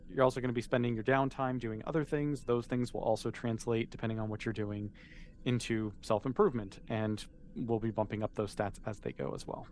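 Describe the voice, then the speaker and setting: A man's voice: mid-range voice, steady tone, brisk, a little mellow, slight background noise. A man game mastering a tabletop role-playing game, explaining and narrating in a casual, informal way.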